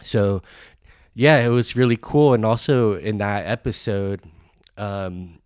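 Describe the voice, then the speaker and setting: A man with a very low, flat voice, nasal and stuffed up from a cold. He rambles through a podcast introduction with plenty of ums and restarts, recorded in a dry, close space. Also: slow pace, smooth, no echo. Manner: rambling, many fillers and restarts. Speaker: podcast host.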